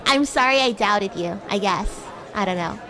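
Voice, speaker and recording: A woman's voice: mid-range voice, moderate pace, crowd noise, pitched below the performer's own voice, natural voice. A woman voicing a bratty, cute demon classmate in an improvised VTuber roleplay, teasing and coy, easily flustered into stammering. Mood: mock offended, teasing. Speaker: VTuber streamer. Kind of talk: improvised VTuber roleplay, casual chat with viewers.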